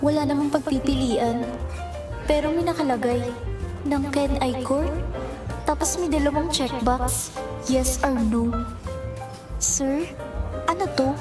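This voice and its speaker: A woman playing a lively, chatty young office assistant who teases her coworkers in an audio drama. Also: high-pitched, measured pace, long pauses. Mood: earnest. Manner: bright, chatty and teasing. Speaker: lead character and narrator. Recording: music bed under voice. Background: romantic background music.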